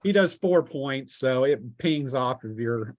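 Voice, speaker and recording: A man's voice: medium pitch, expressive, takes it slowly. A man running a tabletop role-playing game as game master, informally narrating combat and explaining rules on an online call. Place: home, online group call. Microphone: close microphone on an internet call.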